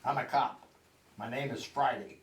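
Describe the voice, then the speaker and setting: A man's voice: mid-range voice, normal speed, a bit bright, lightly acted. Man playing a hard-boiled cop, echoey and distant. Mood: hard-boiled, dismissive. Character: wisecracking male police detective in a radio spoof. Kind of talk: amateur old-time radio comedy acting on stage. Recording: echoey hall, distant mic.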